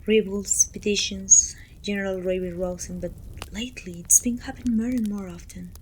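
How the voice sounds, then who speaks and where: A woman playing a pompous, self-pitying evil queen who talks down to her servant in an intimate, breathy ASMR roleplay, often dropping toward a whisper. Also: mid-range voice, fairly even intonation, normal speed, a little mellow, slight background noise, natural voice, poor audio. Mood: exasperated. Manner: pompous, petulant, theatrical sighing complaints.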